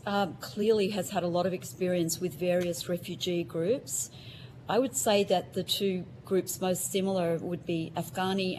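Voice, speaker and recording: A middle-aged woman who grew up in Australia, giving measured formal testimony as a witness over a call, with many um fillers. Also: fairly low pitch, a little mellow, a little hiss or hum, rough recording. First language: Ukrainian. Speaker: witness, psychiatrist.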